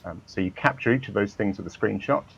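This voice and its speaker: A man with a low, gravelly voice explains things in an easygoing, measured way. He comes through a voice-chat line that sounds a little dull and muffled. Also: fairly bright tone. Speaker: guest, racing club organizer. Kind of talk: casual interview over voice chat.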